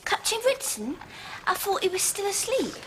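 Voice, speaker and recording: An actress playing a pert, cheeky young housemaid who talks in a saucy, ungrammatical way in a 1970s television period drama. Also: female voice, very high voice, very animated, moderate pace, fairly bright tone, a little hiss or hum, full character voice, poor audio.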